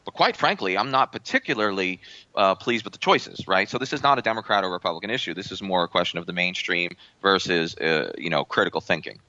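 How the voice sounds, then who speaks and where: A man giving an interview as a guest, earnest, with halting restarts. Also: low-pitched, lively intonation, sharp tone, no echo, muffled recording. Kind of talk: interview answer.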